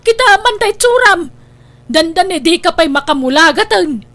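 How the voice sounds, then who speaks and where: A woman's voice: very high voice, animated, normal speed, a bit bright, heavily acted. A woman with an Ilocano accent acting a scolding, exasperated mother in a comedy audio drama. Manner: nagging, chiding. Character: scolding, exasperated mother of a hard-drinking grown son.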